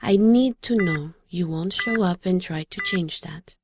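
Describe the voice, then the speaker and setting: An actress playing a solemn, resolute woman of a mystic sisterhood in an audio drama, speaking gently in formal, deliberate phrases. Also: lowish voice, close to an everyday voice. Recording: clearly noisy background.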